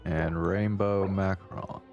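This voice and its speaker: A man's voice: sits low, steady tone, slow pace, vocal fry, a little mellow, highs cut off. A man doing casual, wry game commentary as a streamer, muttering asides and reading dialogue aloud over game music.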